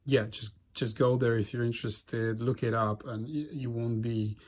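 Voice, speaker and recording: Halting man, Polish native speaker. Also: medium pitch, steady tone. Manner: halting, frequent restarts and fillers. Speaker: board game channel host. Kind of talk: casual playthrough commentary.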